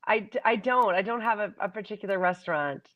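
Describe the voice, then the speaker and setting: A relaxed woman entertainer talks over a video call, laughing easily and letting sentences trail off. Her pitch is mid-range. The call audio is dull and lo-fi. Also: quick pace. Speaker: guest entertainer. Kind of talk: casual interview chat.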